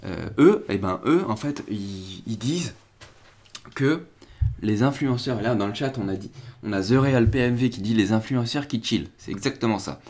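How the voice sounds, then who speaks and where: A man's voice: on the low side, moderate pace, a little mellow. Casual French-speaking crypto streamer, young man. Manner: rambling, restarts and fillers, chats with viewers. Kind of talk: casual live-stream commentary.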